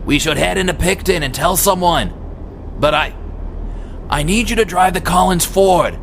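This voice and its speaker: A man voicing a gruff, agitated farmer in a horror audiobook, shouting and insistent. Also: high-pitched, normal speed, slight background noise, pitched above the performer's own voice. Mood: urgent, embarrassed. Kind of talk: dramatised horror audiobook character.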